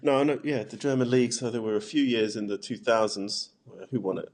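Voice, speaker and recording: A man's voice: medium pitch, a little fast, darkish tone, a little hiss or hum. A man hosting a podcast, putting casual, conversational questions to a guest. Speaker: podcast host, interviewer.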